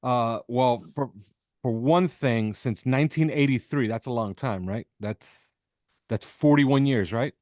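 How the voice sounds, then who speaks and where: Male sports podcast analyst, average pitch, emphatic repetition, dry close sound. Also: measured pace, darkish tone, no room sound. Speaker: podcast host appearing as guest analyst. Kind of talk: sports interview commentary. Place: video call with backdrop behind.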